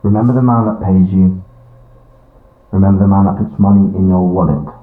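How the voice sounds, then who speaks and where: A man's voice: deep voice, steady tone, a little fast, close to an everyday voice. A man playing a cold, condescending, menacing paymaster in an audio drama. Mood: cold menace. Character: menacing, controlling paymaster, coldly threatening his employee. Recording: echoey, tinny phone-like band-limited sound.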